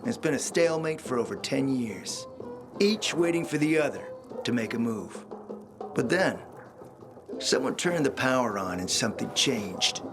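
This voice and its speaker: An actor playing a suave, scheming middle-aged spymaster in a video game, speaking in a theatrical, smooth, insinuating way. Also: male voice, medium pitch, animated, moderate pace, natural voice. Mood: conspiratorial. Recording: loud music behind the voice.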